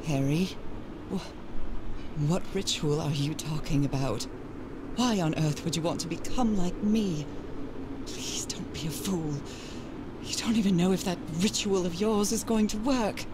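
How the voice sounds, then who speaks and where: A woman's voice: on the low side, a little slow, lightly acted. An actress playing a sensible, concerned 1930s wife exploring in search of her husband, in an adventure game monologue. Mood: alarmed. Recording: clear background noise.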